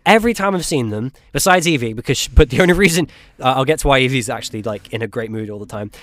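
A man's voice: mid-range voice, fast, fairly bright tone, no echo. Young male co-host, 18, rambling and playful, dry room. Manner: rambling, jokey, lots of fillers and restarts. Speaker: podcast co-host. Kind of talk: casual chat.

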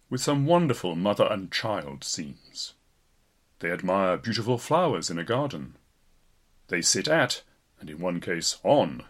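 A man's voice: lowish voice, a bit bright, husky. A man reading a written blog post aloud as scripted narration, in the role of a volunteer narrator. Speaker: volunteer reader of an audio blog.

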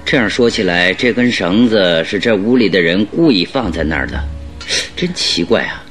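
A brisk, low-voiced probing detective. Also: male voice, expressive, a bit bright, soft background music. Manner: probing, questioning, commanding. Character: brisk, inquisitive male detective, keenly observant. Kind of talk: radio drama acting.

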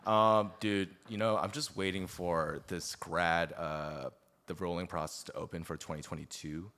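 A man's voice: deep voice, steady tone, normal speed, fairly bright tone. A man in his twenties speaking as a podcast guest, chatting in an informal, rambling way full of slang. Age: 20s. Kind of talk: casual chat.

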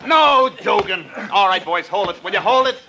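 An actor playing a commanding boxing trainer calling out urgent orders in an old radio drama, on a noisy recording. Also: male voice, sits high, expressive, normal speed, pushed and bright, full character voice. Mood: urgent, exasperated. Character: barking male boxing trainer running a sparring session. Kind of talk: 1950s radio drama acting.

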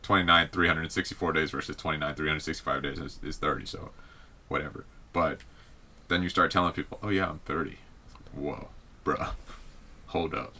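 A man's voice: extremely low pitch, brisk, sharp tone. A young man hosting a casual chat, laid-back and slangy.

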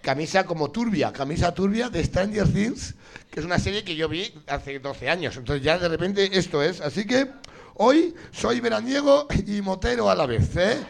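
A man's voice: high-pitched, expressive, moderate pace, vocal fry. A man hosting a live comedy game show, teasing and swearing as he jokes with the audience. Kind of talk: loose stand-up banter with the audience.

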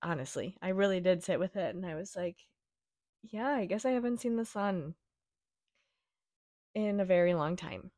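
A young woman hosting her own podcast, her voice raspy from a week-long cough, chatting in a gentle, reassuring, rambling way. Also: fairly low pitch, animated, normal speed, pauses often, dry recording, clean studio sound. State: raspy from a cold. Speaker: podcast host. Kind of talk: casual solo podcast chat.

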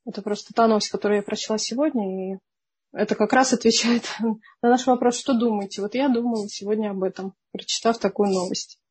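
Breathy woman hosting a podcast. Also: mid-range voice, steady tone, brisk, darkish tone. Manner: thinking aloud, correcting herself mid-sentence. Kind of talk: casual conversation.

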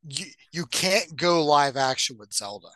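A man's voice: fairly high pitch, expressive, unhurried, a bit bright, no room sound. A man chatting casually and informally as a podcast co-host. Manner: informal, conversational. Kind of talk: casual chat about video game movies.

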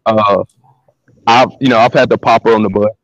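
Deep-voiced man telling stories, lo-fi line. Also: moderate pace, dry recording. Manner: relaxed, slangy, repeats words as he talks. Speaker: guest. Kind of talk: casual storytelling interview.

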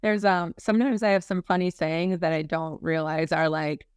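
A woman's voice: lowish voice, fairly even intonation, moderate pace, a little mellow, clear, resonant tone, no echo, studio quality. An American woman from Minnesota speaking as an interview guest, telling career stories informally with lots of "like" and "you know". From Minnesota, United States. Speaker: podcast guest, human resources executive. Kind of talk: casual career interview, conversational storytelling.

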